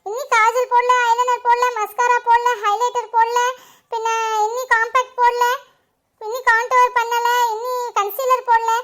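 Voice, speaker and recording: A young daughter who giggles and chatters about her cosmetics, playful and vain. Her voice is very high and bright, as a woman acts her in a family comedy skit. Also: flat delivery, normal speed. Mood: chatty.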